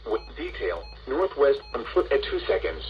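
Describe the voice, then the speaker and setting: A man's voice: medium pitch, big pitch swings, normal speed, highs cut off. Noisy radio weather announcer, automated male voice. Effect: played through a radio speaker. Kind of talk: scripted weather bulletin. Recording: noisy weather radio speaker with poor signal.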